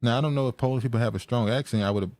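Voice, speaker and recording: A man hosting a casual podcast chat, musing aloud with halting restarts and frequent laughs. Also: medium pitch, a little mellow, no echo. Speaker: podcast host.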